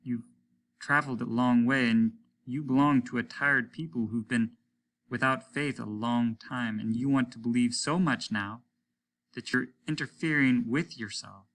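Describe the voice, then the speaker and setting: A man voicing a calm, gentle, pitying mayor in a story reading, speaking in a measured way. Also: mid-range voice. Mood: gently pitying. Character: gentle, pitying, unyielding male mayor of faraway town.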